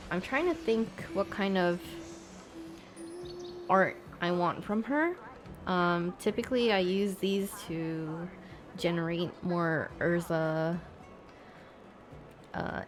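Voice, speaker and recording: A woman livestreamer chatting casually with her viewers, thinking aloud in a hesitant, rambling way. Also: on the low side. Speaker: live streamer.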